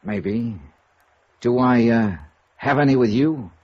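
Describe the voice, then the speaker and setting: An actor playing a wry, probing insurance investigator in a 1950s radio noir drama, with a dry, sardonic manner. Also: male voice, medium pitch, slow. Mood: wry, guarded. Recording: muffled, hissy vintage radio recording.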